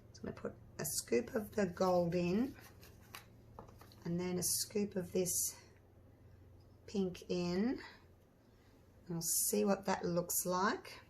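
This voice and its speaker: A slightly hoarse woman hosting a casual crafting tutorial, chatting and thinking aloud with lots of ums and restarts. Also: medium pitch, a little hiss or hum. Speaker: resin artist and channel host.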